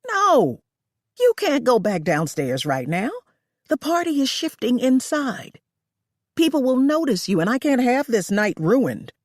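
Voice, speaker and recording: A woman reading aloud, voicing a cruel wealthy female employer who yells and screeches at her maid. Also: very animated, measured pace, no room sound, studio quality. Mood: furious. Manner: shrill, shouting.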